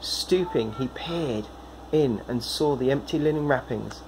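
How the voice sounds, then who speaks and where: A man in his thirties reads scripture aloud. His pitch is average. He is recorded on a phone indoors, with a faint room hiss behind him. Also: a little slow. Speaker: Bible reader. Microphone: phone propped at arm's length.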